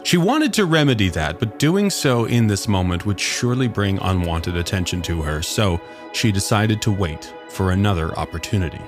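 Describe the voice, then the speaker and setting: A man's voice: fairly low pitch, animated, normal speed, hardly any pauses, over music. A man reading a scripted story aloud as its narrator.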